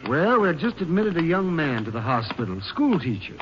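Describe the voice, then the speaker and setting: An actor playing an earnest, worried American hospital doctor in a 1950s radio drama, speaking gravely and haltingly. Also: male voice, fairly high pitch, sing-song. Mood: grave, explanatory. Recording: muffled, noisy old radio recording.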